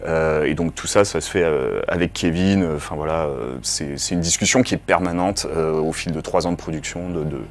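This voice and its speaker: A man's voice: rumbling low, moderate pace, hardly any pauses. A man speaking as a game developer in an explanatory interview, conversational, with broken phrasing.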